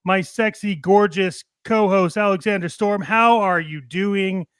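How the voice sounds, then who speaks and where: High-voiced showy male host, clean and dry. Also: a little slow, a bit bright, clear, resonant tone, no echo. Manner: showy, cheeky, swears casually. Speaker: podcast host. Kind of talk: casual hype show opening.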